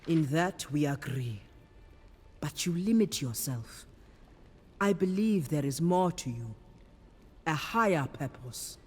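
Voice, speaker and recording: A woman playing a wise, commanding priestess in a fantasy game, speaking in a solemn, measured way. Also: deep voice, lively intonation, darkish tone, breathy edge, heavily acted. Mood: solemn, assured. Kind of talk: cinematic fantasy game acting. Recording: long hall-like reverb.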